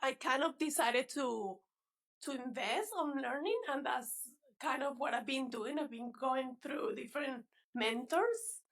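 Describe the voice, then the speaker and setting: A woman's voice: high voice, unhurried, fairly bright tone. A woman from Venezuela with a Venezuelan Spanish accent, a native Spanish speaker, chatting as a guest about her fitness coaching. She talks in a chatty way, laughs often and restarts words. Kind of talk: casual interview chat.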